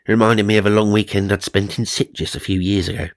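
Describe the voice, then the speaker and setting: A man's voice: on the low side, fairly even intonation, fast, dry recording, studio quality. A man narrating supernatural magazine stories for comedy, mock-serious and dramatic, with wry asides. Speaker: narrator and host.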